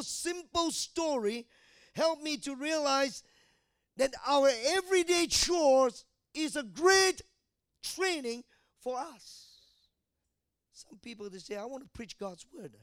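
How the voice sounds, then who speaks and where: A man's voice: very high voice, no room sound, clean studio sound. A man in his fifties from Malaysia preaching a sermon, often asking the congregation questions. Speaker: pastor.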